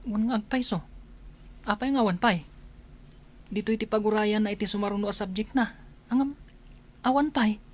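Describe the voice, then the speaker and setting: A woman's voice: mid-range voice, normal speed, pauses often, close to an everyday voice. A woman playing a polite, earnest young male student in an Ilocano radio drama, with an Ilocano accent. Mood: concerned. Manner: respectful, earnest. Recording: music under the voice.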